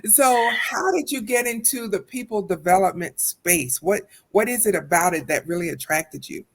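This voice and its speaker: A woman's voice: mid-range voice, animated, moderate pace. A woman hosting a podcast interview, speaking with enthusiastic, affirming encouragement toward her guest. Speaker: podcast host and educator.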